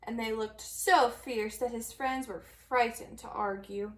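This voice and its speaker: A woman reading a children's story aloud in an expressive storytelling manner. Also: fairly high pitch, measured pace. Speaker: storybook reader.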